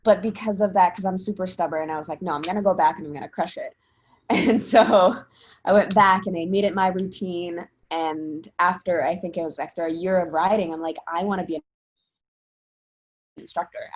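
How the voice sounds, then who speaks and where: A woman's voice: lowish voice, steady tone, brisk. Chatty young woman. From Italy. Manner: chatty, rambling with frequent fillers and restarts. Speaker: interviewee, spin instructor. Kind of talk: casual interview talk.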